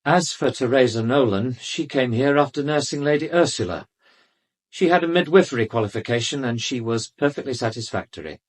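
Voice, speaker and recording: An actor playing an arrogant, urbane British doctor in a radio drama, speaking with haughty, defensive precision. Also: male voice, medium pitch, expressive, brisk, close to an everyday voice. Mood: dismissive, defensive. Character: arrogant, urbane male doctor under police questioning.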